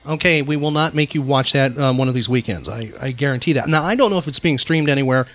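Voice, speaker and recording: A man's voice: medium pitch, fast talker, fairly bright tone. A man hosting a casual trivia show in an upbeat, playful way. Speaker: radio show host. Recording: muffled lo-fi audio.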